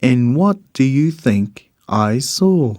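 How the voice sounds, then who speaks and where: Male New storybook reader, mid-range voice, expressive measured delivery of children's verse, very dry recording. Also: mid-range voice, no room sound. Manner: expressive, measured storytelling in the character of a bird narrator. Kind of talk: scripted rhyming children's storybook narration.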